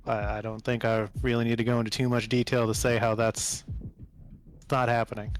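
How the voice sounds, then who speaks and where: Man playing a halting, protective pilot husband. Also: mid-range voice, level tone, slight background noise, lightly acted. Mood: uneasy, firm. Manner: halting, plain-spoken. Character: Joey, wary, protective military pilot husband. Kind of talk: tabletop role-play dialogue.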